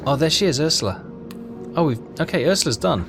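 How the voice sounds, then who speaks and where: A man giving casual game walkthrough commentary, reading text aloud and haltingly thinking out loud. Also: fairly high pitch, lively intonation. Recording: clear music behind the voice. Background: game music.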